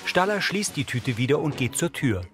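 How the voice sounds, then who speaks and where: A man's voice: a little high, sing-song, normal speed. Male audio description narrator over music. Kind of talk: terse scripted audio description.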